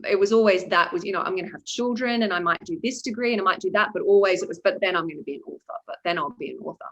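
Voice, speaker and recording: A woman, a debut novelist talking through her path to publication in a relaxed, reflective way with many ums. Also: medium pitch, rapid-fire, dull audio. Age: 40s. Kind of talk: conversational interview.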